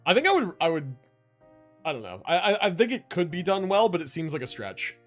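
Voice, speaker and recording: Animated young male streamer, slangy and casual. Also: sits high, a little fast. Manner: laid-back, slangy. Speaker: game livestreamer. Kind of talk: casual livestream commentary. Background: game music.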